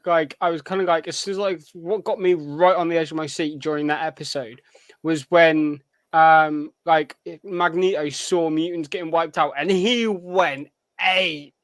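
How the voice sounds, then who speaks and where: High-voiced man, close and dry. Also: steady tone, moderate pace, fairly bright tone, no echo. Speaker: podcast co-host. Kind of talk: casual fan review chat.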